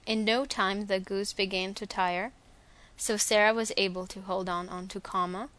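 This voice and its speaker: Young woman, Slovak accent, careful reader, mid-pitched voice, some hesitant pauses. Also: fairly bright tone. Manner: careful, slightly hesitant with fillers and restarts. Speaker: dialect archive speaker. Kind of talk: reading a set passage, then casual self-introduction.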